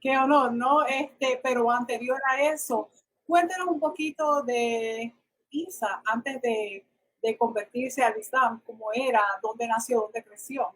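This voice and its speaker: A woman's voice: fairly high pitch, a little slow, a bit bright, low-quality recording. A woman hosting a radio show in Spanish and interviewing a guest.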